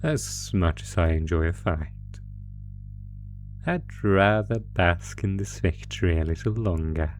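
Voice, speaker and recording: A man's voice: sits low, expressive, unhurried, soft background music. A man voicing an ancient, possessive monster captor in a horror roleplay, gloating with menacing, mock-tender teasing. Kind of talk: horror roleplay audio acting.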